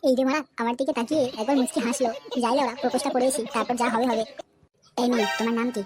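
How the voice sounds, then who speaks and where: Brash young male cartoon suitor played by a woman, West Bengal accent, crude swagger, very rapid delivery, music underneath. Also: a little high, steady tone, close to an everyday voice. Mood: scheming. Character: brash, foul-mouthed young male suitor, pushy and menacing. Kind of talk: comedy cartoon dialogue. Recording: music behind the voice.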